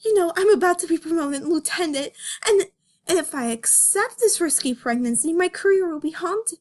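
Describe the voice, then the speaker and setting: A woman's voice: very high voice, expressive, moderate pace, a little mellow, voice pushed up. A woman voicing an anguished young female rabbit cop, melodramatic and pleading, in an amateur comic reading. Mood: earnest.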